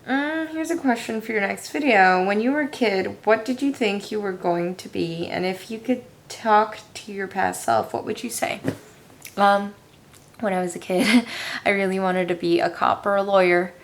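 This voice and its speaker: Young woman, raspy gravelly voice, tired, hesitant and self-deprecating, casual home vlog Q&A. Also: mid-range voice, moderate pace. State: tired with a headache. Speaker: vlogger answering viewer questions. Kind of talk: casual Q&A chat. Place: her room at home.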